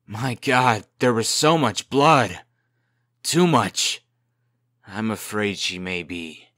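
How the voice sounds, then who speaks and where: An anxious young rogue acted by a man in a comic dub, pleading and earnest. The voice is tense and bright, very dry and close. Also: on the low side, expressive, slow pace, dry recording. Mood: anxious, distraught.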